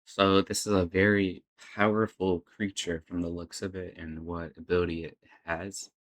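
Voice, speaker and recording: A man's voice: deep voice, level tone, moderate pace, fairly bright tone. A man hosting a reaction video, giving casual off-the-cuff commentary as he watches.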